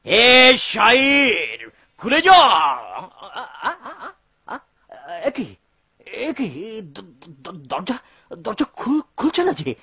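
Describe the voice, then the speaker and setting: High, husky, greedy cartoon man. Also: very animated, drawn-out words, sharp tone, heavily acted. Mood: panicked, desperate. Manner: stammering, repeating words frantically. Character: greedy, excitable man trapped in a treasure cave. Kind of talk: exaggerated cartoon dub acting.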